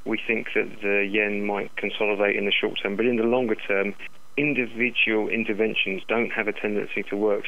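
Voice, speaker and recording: A man giving market commentary as an analyst in a phone interview, halting and repeating words, over a dull telephone line. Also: on the low side, creaky, a bit bright. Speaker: currency analyst, interview guest.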